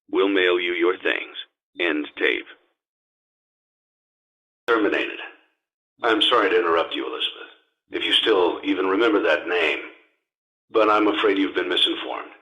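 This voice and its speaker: Low, husky, grave cassette-tape instructor. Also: male voice, full character voice. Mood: cold, formal, then grave, solemn. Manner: measured, matter-of-fact, formal, ominous. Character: calm, grave male instructor on cassette training tapes. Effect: cassette tape filter. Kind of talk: scripted game voice lines, formal instructions. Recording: dull, lo-fi cassette tape sound.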